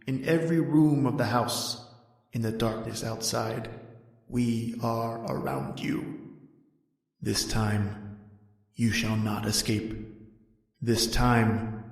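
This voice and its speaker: A man's voice: lowish voice, steady tone, takes it slowly, long pauses, a little mellow. A man voicing a merciless shadow spirit in a radio horror play, speaking in an ominous, taunting manner. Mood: menacing. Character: menacing Shadow People spirit, gloating and merciless. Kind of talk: old-time radio horror acting. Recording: echoey, distant, music underneath. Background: music.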